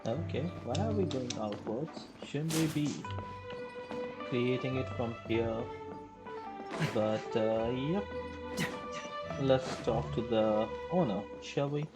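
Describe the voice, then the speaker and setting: A woman's voice: rumbling low, slow pace, long pauses, low-quality recording. A woman streamer chatting casually and easygoing while playing a game. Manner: easygoing, reads choices aloud. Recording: game music loud under voice.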